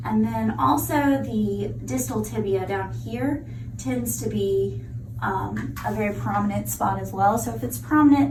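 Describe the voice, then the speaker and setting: Woman prosthetist explaining step by step, bit high voice, far off in a roomy space. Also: background noise. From United States. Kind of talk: hands-on clinical demonstration. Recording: echoey room, mic from far off.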